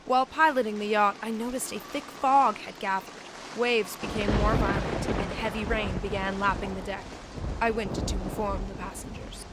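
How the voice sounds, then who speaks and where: A woman playing a yacht captain who narrates an amateur murder mystery audio drama in a dramatic, measured storytelling way. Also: medium pitch, fairly even intonation, very noisy, natural voice. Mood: ominous.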